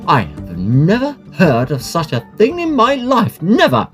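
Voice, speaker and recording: An actor playing a sceptical, kindly, fussy Victorian gentleman doctor in a radio drama, proper and measured in manner. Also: high-pitched, huge pitch swings, over loud music, full character voice. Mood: puzzled.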